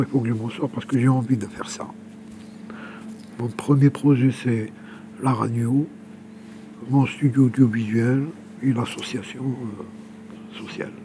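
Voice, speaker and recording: A man's voice: mid-range voice, background noise. A man gives a personal testimony in informal, conversational language, repeating words as he searches for the next. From Algeria, Kabyle. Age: 60s. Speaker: interviewee, exiled journalist living homeless.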